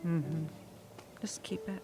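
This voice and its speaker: A woman's voice: on the low side, takes it slowly, music in the background. A woman chatting casually in a studio, offering offhand suggestions.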